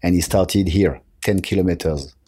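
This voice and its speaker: A man with a French accent answering interview questions conversationally, halting as he searches for words. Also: low-pitched, dark tone, slightly rough, no echo, studio quality. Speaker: interview guest, company marketing director. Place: office.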